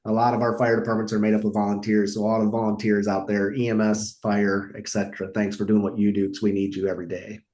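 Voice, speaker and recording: Male American panelist, conversational, dark and relaxed tone. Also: lowish voice, quick pace, hardly any pauses. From United States. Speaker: podcast panelist on emergency dispatch. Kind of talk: casual panel discussion.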